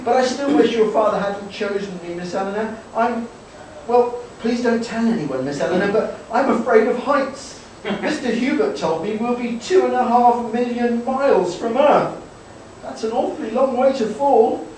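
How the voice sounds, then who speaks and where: A man's voice: very high-pitched, moderate pace, a little mellow. A man playing an anxious, deferential Victorian assistant, hesitant, in a live comic radio play. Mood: nervous. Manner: hesitant, nervous. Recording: echoey room, distant mic, faint noise.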